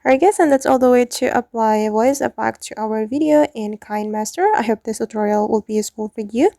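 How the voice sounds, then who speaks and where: A woman's voice: a little high, fairly quick, dark tone, no echo, clean studio sound. A woman hosting a tutorial, narrating instructions step by step in a scripted style. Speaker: tutorial host.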